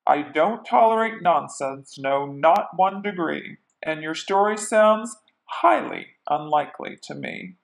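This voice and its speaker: A woman's voice: low-pitched, lively intonation, measured pace, creaky, few pauses, voice pushed down, exaggerated voice, rough recording. A woman voicing a stern, pompous male schoolteacher who scolds and sneers in a children's picture book read-aloud. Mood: sneering, disdainful.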